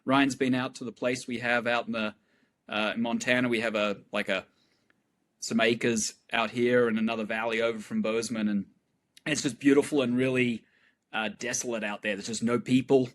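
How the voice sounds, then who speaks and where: A middle-aged man from Australia, a guest talking in a relaxed, rambling way with fillers. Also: mid-range voice, normal speed. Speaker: guest astrophysicist. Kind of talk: casual chat.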